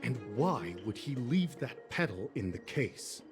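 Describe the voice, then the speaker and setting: A man's voice: medium pitch, big pitch swings, unhurried, over music. A man voicing a puzzled, hesitant official who reasons methodically in a video game.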